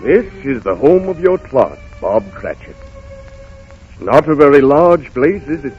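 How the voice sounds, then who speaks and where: An actor playing a stern, commanding Christmas ghost who scolds solemnly, in a muffled 1930s radio drama. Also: male voice, medium pitch, lively intonation, measured pace, lightly acted. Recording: muffled old radio broadcast, faint music.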